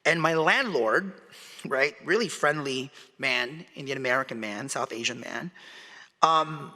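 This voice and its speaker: A man's voice: mid-range voice, a little slow, bright, forceful tone. A man in his forties, born in the Philippines, giving a conversational public lecture and often asking the audience questions. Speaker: guest speaker. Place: large hall. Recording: long hall reverb.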